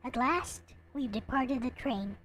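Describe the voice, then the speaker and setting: A woman's voice: high voice, unhurried, fairly bright tone, a little hiss or hum. A woman reading a story aloud expressively, with playful, theatrical casual asides. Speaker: host reading a visual novel aloud. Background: background music.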